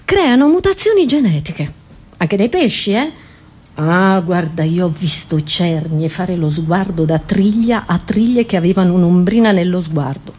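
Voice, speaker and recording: A woman comedian performing a theatrical comic monologue in an animated manner, as if talking to a child. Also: fairly low pitch, unhurried, slightly rough. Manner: animated, addressing an imaginary child.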